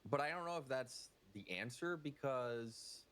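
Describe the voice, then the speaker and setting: A man's voice: medium pitch, expressive, unhurried, a bit bright. A Danish man speaking English with a near-American accent, a guest answering questions in thoughtful, filler-laced explanations. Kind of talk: casual interview answers.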